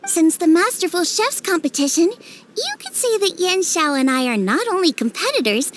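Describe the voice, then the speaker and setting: A woman's voice: very high-pitched, noisy background. A woman voicing an eager, kindly teenage girl cook in a dubbed fantasy game, chatty, earnest and full of exclamations. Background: music.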